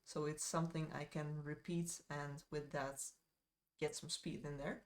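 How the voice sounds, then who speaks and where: Woman, Dutch accent, low voice, slow pace, casual streamer chat, rambling with fillers. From Netherlands. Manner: relaxed, rambling, with frequent fillers and asides. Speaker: miniature painting streamer. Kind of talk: casual live-stream chat while painting.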